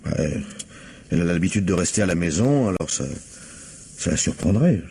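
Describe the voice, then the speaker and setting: A man's voice: low-pitched, animated, normal speed, warm tone, breathy edge, heavily acted. An actor playing an anxious, evasive middle-aged bourgeois businessman in a radio mystery, hesitant, stammering and deferential. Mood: evasive, flustered. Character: flustered, anxious, evasive 42-year-old bourgeois businessman husband. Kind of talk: radio mystery drama acting.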